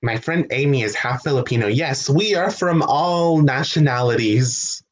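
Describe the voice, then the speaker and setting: A man from the United States chats through a home livestream, reading out and answering viewer questions. His voice sits at an ordinary middle pitch. He talks loosely, often laughing and restarting his sentences as he goes. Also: normal speed. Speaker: livestream host.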